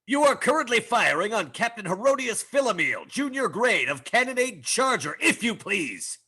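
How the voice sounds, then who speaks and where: A man puts on a self-important starship captain, haughty and easily affronted. The voice is fairly high and cutting, with very animated swoops, played for comic science fiction. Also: normal speed, husky, full character voice. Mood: outraged. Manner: pompous, haughty. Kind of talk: comic sci-fi table read.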